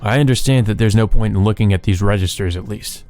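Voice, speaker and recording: A man plays a reserved young man from a visual novel. He speaks plainly and directly in a fairly low voice, with music underneath. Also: fast talker, a little mellow, lightly acted. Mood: earnest. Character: earnest, reserved young man searching for answers.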